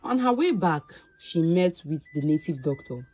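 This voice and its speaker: A woman narrating a scripted folktale in a measured, deliberate way, phrase by phrase. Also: deep voice, big pitch swings, dark tone, breathy edge, poor audio. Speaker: storyteller.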